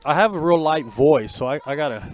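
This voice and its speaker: A man calling a high school football game outdoors, folksy and joking with the crowd and his partner. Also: lively intonation, fairly quick, slight background noise. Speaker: play-by-play announcer.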